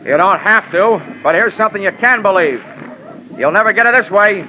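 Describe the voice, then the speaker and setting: An actor playing a wry, slangy, hardboiled insurance investigator who also narrates an old-time radio drama. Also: male voice, high voice, brisk, bright, forceful tone, slight background noise. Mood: firm bargaining. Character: wry, tough American insurance investigator hero. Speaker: lead and narrator. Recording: muffled old radio recording.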